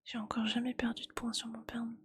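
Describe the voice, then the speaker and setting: A woman speaking in a breathy ASMR whisper, chatting casually and with gentle teasing like a streamer talking to viewers. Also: on the high side, monotone, quick pace.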